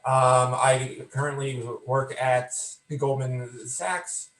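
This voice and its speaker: Man in his thirties who stutters, slow halting delivery, flat even pitch, roomy echo. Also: slight background noise. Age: 30s. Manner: stutters with repeated words and blocks, slow and halting. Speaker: panelist. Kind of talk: conference panel introduction.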